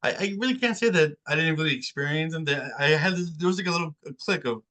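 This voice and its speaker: A man from New Jersey, a guest in a casual interview, talking in a halting way and repeating words as he thinks. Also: on the high side, sharp tone. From New Jersey, United States.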